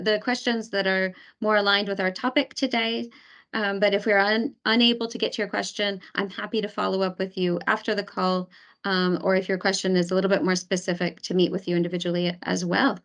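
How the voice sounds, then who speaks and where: Woman hosting a webinar, halting. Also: medium pitch, fairly bright tone. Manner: hesitant, many fillers and restarts. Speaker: webinar host, program advisor. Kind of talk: webinar presentation. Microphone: video call.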